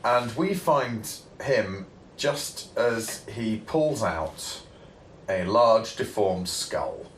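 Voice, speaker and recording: A man's voice: fairly low pitch, animated, very slow, a bit bright. A man in his thirties with an English accent, chatting casually about a film on a podcast in a rambling, hesitant way. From England. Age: 30s. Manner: rambling, hesitant with many fillers, chuckling. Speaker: podcast host. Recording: echoey room, faint noise.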